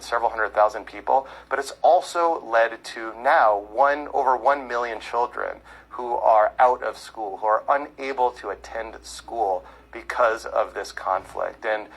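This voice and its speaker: A man who hosts a podcast, guesting on a call-in show and answering callers in a measured, explanatory way with frequent fillers. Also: medium pitch, gravelly, fairly bright tone, slightly rough, low-quality recording.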